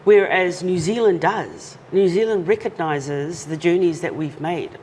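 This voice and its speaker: A woman's voice: lowish voice, a little slow, a little mellow. A woman guest talking earnestly and carefully in an interview. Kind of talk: casual interview talk. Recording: long reverb, faint noise.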